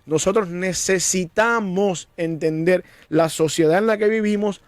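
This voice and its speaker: A Cuban-born pastor speaking in an earnest, preacherly way, often doubling words as he starts a thought. His voice sits a little high for a man. The sound is dry and close, with no room echo. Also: measured pace. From Cuba. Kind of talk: conversational discussion.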